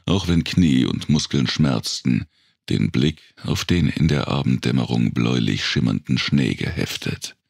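A man narrating a dark thriller audiobook in a gravelly, raspy voice, with measured, dramatic storytelling. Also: very deep voice, no echo, studio quality.

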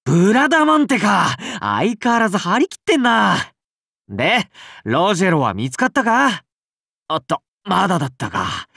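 A breezy young paladin king from a video game. He banters casually and warmly with a very lively pitch, recorded dry and close. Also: normal speed, creaky, no room sound, full character voice. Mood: breezy, friendly. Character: breezy, friendly young male paladin king, cool and teasing. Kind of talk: scripted game character dialogue.

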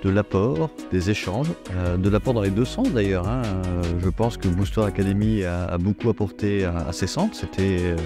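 Low-voiced middle-aged man, measured. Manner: measured, with frequent hesitations. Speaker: president of a training company. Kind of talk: interview. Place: indoors with plants. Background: background music.

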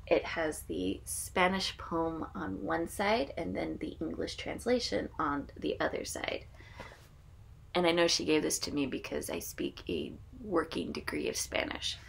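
Gravelly-voiced Midwestern woman. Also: fairly low pitch. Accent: American Midwestern. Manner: chatty, reads the poem aloud with care. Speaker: channel host. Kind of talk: casual vlog with a poetry reading. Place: home room with bookshelves, indoors.

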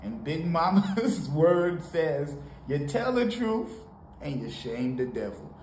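A man from Haiti giving casual, animated reaction commentary on a song in a small home studio, talking to the camera. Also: on the high side, slow pace. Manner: animated, preachy, sprinkled with laughs and "you know". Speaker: music reaction host. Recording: roomy home studio with faint noise.

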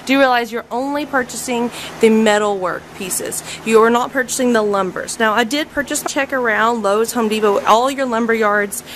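A woman in her thirties talks through her horse barn in a loose, chatty way, with plenty of ums. Her voice sits a little high. The sound comes from a handheld camera and carries a clear layer of noise. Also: fairly bright tone. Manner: chatty, frequent ums, filming as she walks. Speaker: barn owner reviewing horse stalls. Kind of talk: casual walkthrough video. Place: indoor horse barn aisle. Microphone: handheld camera mic. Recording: noisy handheld camera audio.

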